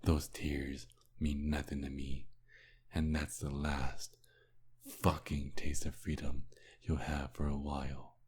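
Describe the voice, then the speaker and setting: Man acting a very deep, menacing vampire kidnapper. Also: completely flat delivery, darkish tone, slight background noise. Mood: cold menace. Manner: slow, menacing, taunting, breathy whispers. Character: cruel, mocking male vampire kidnapper. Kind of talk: ASMR roleplay acting.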